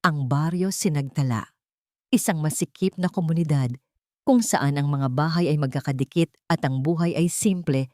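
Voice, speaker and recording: A woman's voice: sits low, mellow, no room sound, clean studio sound. A Filipino woman reading a scripted love story as a dramatic narrator.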